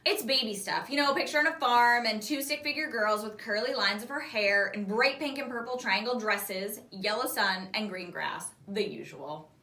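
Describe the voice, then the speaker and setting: A woman reading a children's novel aloud like a teacher, expressive and animated, acting out the dialogue. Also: a little high, moderate pace, few pauses. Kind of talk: expressive storybook read-aloud. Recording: roomy echo, distant mic.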